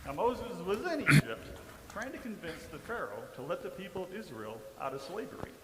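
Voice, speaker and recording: Man playing an animated disciple storyteller in a hall. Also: sits high, fairly quick, noisy background. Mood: explanatory, earnest. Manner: expressive, explanatory. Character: earnest disciple of Jesus recounting the Last Supper. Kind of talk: dramatic storytelling in a church performance. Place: church, live performance. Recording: reverberant hall.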